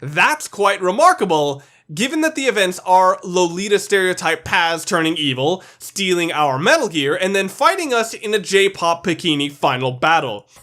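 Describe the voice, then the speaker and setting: A man's voice: sits high, very animated, moderate pace, hardly any pauses, bright, forceful tone. A man narrating a countdown video as its reviewer, delivering opinionated scripted commentary in a blunt, sweary, emphatic way.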